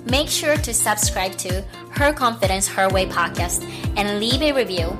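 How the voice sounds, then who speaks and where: A woman hosting a podcast, her voice husky from a lingering cough, talking in a chatty, encouraging way and laughing lightly between thoughts. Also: mid-range voice, lively intonation, normal speed, over music. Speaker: podcast host. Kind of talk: casual solo podcast wrap-up.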